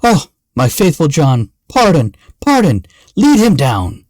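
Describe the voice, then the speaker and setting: Man playing an impassioned young storybook king, raised pitch. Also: huge pitch swings, slow pace, darkish tone, dry recording, clean studio sound, full character voice. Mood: anguished. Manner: emotional, exclamatory. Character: young king, passionate, loyal, grief-stricken.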